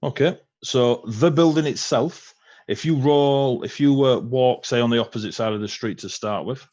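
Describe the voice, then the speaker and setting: A man's voice: medium pitch, moderate pace, a little mellow, dry recording, lo-fi sound. A man running a tabletop game as game master, chatty and rambling, with fillers and laughs.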